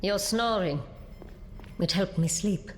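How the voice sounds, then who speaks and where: Prim deadpan actress as game heroine, reverberant. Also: female voice, mid-range voice, takes it slowly, natural voice. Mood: dry, disapproving. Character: prim, dry, composed female architect. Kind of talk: video game voice acting. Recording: long reverb, faint noise.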